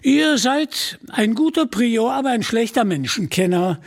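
A man's voice: extremely high pitch, lively intonation, measured pace, pitched above the performer's own voice, heavily acted. A man voicing a greedy, pompous medieval bishop in an audio storybook, with condescending mock courtesy. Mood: smug, chiding.

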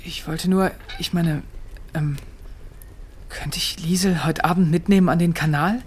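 An actor playing a shy, earnest seventeen-year-old farm milker in a German radio drama. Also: male voice, on the high side, fairly even intonation, a little slow, slight background noise, lightly acted. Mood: shy, hesitant. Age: young adult. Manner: hesitant, modest, earnest.

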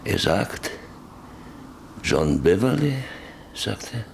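A man's voice: low-pitched, sing-song, drawn-out words, gravelly, slight background noise, heavily acted. An actor playing a stern, domineering middle-aged farmer and father in a German radio drama, speaking in a commanding, insistent way. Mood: brooding.

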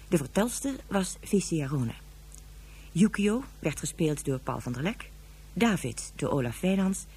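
Low, very husky female announcer. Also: darkish tone. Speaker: credits announcer. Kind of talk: scripted radio announcement.

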